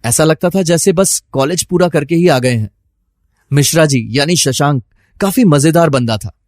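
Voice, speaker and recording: A male actor as a troubled, suspicious husband narrates his own story in a fairly high male voice. The delivery is scripted and dramatized, like an audio story. The recording is dry and close. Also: moderate pace, darkish tone, no echo, natural voice. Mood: nostalgic.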